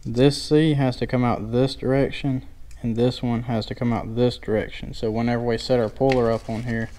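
Male DIY mechanic for a garage how-to: plainspoken and folksy, very little pitch movement, casual step-by-step delivery. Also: little pitch movement, moderate pace, dark tone. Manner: plainspoken, informal, folksy wording. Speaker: DIY mechanic demonstrating a tool. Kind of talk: casual hands-on tutorial narration. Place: indoor garage workshop.

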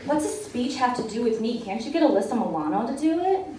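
An irritable, sulky jilted young woman performed by a woman reading aloud in a comic short story. Her voice is fairly high and swoops sharply. The room is noisy. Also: very animated, fast. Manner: snappish, sulky. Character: jilted, irritable, foul-mouthed young bride. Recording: roomy, distant, noisy live-event recording.

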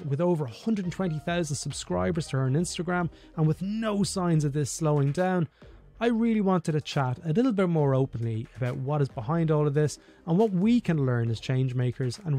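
A man's voice: fairly high pitch, mellow. Irish man hosting a podcast. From Ireland. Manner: rambling with fillers in conversation. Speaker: podcast host. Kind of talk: scripted intro then casual interview. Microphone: studio mic with headphones.

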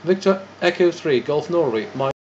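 Male ham radio operator, fairly high voice, procedural and a bit hesitant, faintly noisy recording. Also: lively intonation, moderate pace, a little mellow. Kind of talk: ham radio contact exchange. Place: indoors beside a radio set. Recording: dull lo-fi audio with faint noise.

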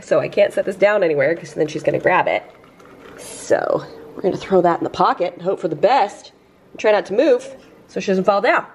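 A woman in her thirties chatting casually as she cleans her home on camera, self-deprecating and quick to laugh. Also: on the low side, slight background noise. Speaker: lifestyle vlogger. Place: kitchen and entryway of a house, indoors.

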